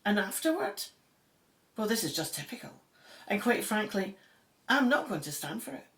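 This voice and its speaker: Spirited female Egyptologist actress, mid-pitched but very animated, wry and colloquial, audio drama. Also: normal speed. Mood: indignant. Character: feisty, indignant woman Egyptologist, wry and plain-spoken.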